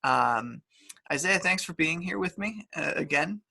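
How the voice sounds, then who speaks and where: Halting male host, gravelly and bright, slightly high voice, filler-heavy questions. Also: expressive. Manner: hesitant, many fillers. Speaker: interviewer, host. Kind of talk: remote interview.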